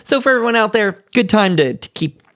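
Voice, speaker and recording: A man's voice: high voice, animated, brisk, fairly bright tone, no echo, studio quality. A man hosting a casual roundtable stream, rambling through notes and adding offhand asides as he reads aloud. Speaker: podcast host and panel moderator. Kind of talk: casual livestream roundtable, reading and commenting on notes.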